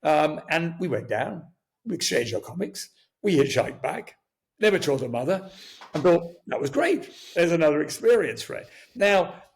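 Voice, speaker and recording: An older man raised in Kenya whose first language was Swahili, telling lively stories as an interview guest, chuckling and restarting sentences. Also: fairly high pitch, moderate pace, vocal fry. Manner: animated, chuckling, many restarts. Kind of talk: storytelling interview talk.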